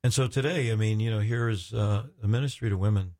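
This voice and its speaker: Low-voiced, effusive male radio host. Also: darkish tone. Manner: effusive, halting and searching for words.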